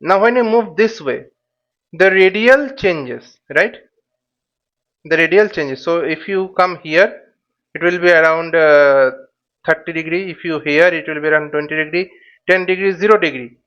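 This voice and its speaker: Male instructor, high voice, halting explanatory delivery, repeats phrases, frequent check-ins, muffled lo-fi recording. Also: a bit bright. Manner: halting, repetitive, checks with "okay" and "right". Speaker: flight simulation instructor. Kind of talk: explanatory tutorial, thinking aloud.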